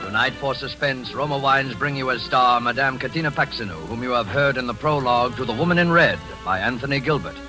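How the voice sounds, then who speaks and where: A man announcing a radio drama in a formal, measured 1940s broadcast style. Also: mid-range voice. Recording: old broadcast with music under it.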